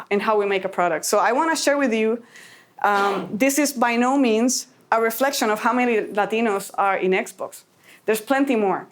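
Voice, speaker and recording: A woman from Puerto Rico giving an earnest, encouraging talk to a conference audience. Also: a little high. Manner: earnest, encouraging, a little emotional. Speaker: conference speaker.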